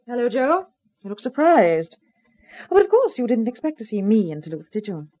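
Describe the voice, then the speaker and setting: A sly double-crosser, high lilting voice, airy and mocking, old 50s radio crime show. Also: female voice, big pitch swings, fairly quick, mellow. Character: brazen, taunting double-crossing woman in crime drama. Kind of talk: 1950s radio crime drama acting.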